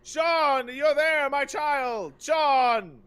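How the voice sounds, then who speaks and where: Man playing frantic father, very high pitch, loud bright wail, melodramatic, desperate, video game parody. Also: extremely slow, full character voice. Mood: awed, pleading. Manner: wailing, overdramatic. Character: frantic, melodramatic father searching for his lost son.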